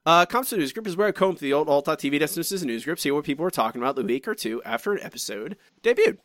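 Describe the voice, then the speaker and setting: A man co-hosting a podcast, reading out listener comments in chatty, animated fashion with frequent restarts and asides. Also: on the high side, very fast, no room sound, clean studio sound. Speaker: podcast co-host.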